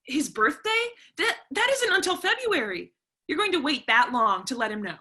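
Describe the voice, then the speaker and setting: A woman reading the part of a defiant, sharp-tongued young art-student daughter in a stage drama, sarcastic and confrontational. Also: high voice, sing-song, moderate pace, exaggerated voice. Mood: sarcastic, accusing.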